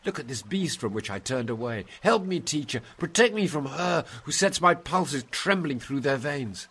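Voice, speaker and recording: A man's voice: on the high side, lively intonation, normal speed, a bit bright, slightly rough, pitched above the performer's own voice. A man voicing an awestruck, frightened poet pleading for rescue in an impassioned, declamatory story reading. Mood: desperate pleading. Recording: noisy, hissy background.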